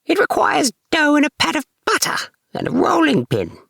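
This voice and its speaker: A man voicing a bossy, snappish old female rat in a squeaky falsetto for a storybook. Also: wildly swooping pitch, normal speed, vocal fry, fairly bright tone, dry recording, studio quality, heavily acted. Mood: snappish, insistent. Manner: snappish, contradicting. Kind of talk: storybook character voice.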